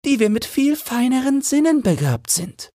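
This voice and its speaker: A man's voice: very high-pitched, very animated, moderate pace, mellow, no room sound, studio quality, pitched above the performer's own voice, exaggerated voice. A man voicing a small, cheeky, opinionated sparrow with chirpy, self-assured talk in a fairy tale audiobook. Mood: smug.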